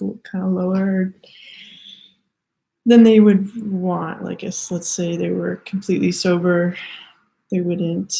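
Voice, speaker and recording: Slow, hesitant Canadian woman. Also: fairly low pitch, gravelly, rough recording. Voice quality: soft-spoken, hesitant, many fillers and restarts. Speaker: tarot reader. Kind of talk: slow, halting spiritual card reading.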